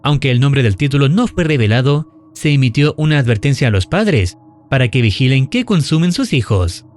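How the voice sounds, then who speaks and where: A man giving a scripted horror recap narration in a measured, serious storytelling manner. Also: mid-range voice, lively intonation, faint music behind.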